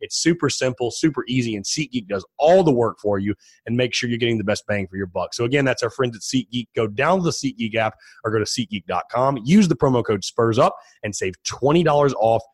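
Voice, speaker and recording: A man hosting a sports podcast, talking casually with a slightly raspy, hoarse voice from allergies and yelling, chatty and energetic. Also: brisk, hardly any pauses, no room sound. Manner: energetic, chatty, repeats himself while making pitches. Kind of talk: casual solo podcast talk.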